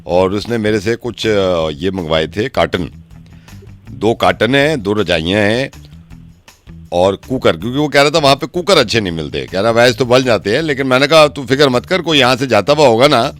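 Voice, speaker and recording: A man on a prank phone call playing a chatty middle-aged uncle who rambles insistently with hesitant pauses. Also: fairly low pitch, expressive, fairly bright tone, faint music behind, pitched below the performer's own voice.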